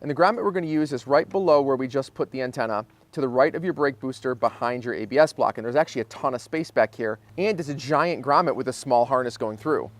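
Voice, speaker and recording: A man with an American accent and a medium-pitched voice narrates a do-it-yourself car job. He speaks informally, like someone working with his hands and explaining each step as he thinks it through. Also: quick pace, few pauses. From Michigan, United States. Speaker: DIY car repair video host. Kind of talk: casual hands-on how-to narration.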